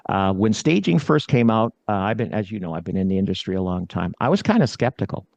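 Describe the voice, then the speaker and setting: Gravelly low-voiced male podcast host. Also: very animated, fast talker, a little mellow, no echo. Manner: chatty, interrupts, self-deprecating. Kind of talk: casual interview chat. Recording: dull, slightly lo-fi sound.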